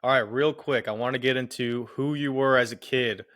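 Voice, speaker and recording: A man's voice: mid-range voice, fairly even intonation, moderate pace, a bit bright. Man asking short interview questions. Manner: short, upbeat prompts and brief affirmations. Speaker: podcast host.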